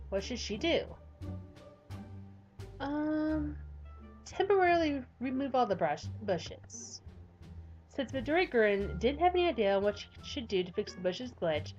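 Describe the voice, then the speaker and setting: A woman host reading a visual novel aloud with lively, animated delivery and nervous asides. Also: a little high, muffled recording. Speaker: let's play host.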